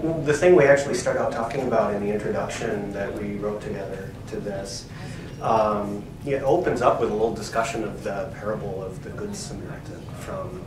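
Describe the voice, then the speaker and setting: Man, english professor, fairly low voice, hesitant lecture, distant mic, roomy echo. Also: noisy background. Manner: hesitant start, stumbling with fillers. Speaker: English professor co-presenting a library talk. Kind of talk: conversational lecture. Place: room in a library.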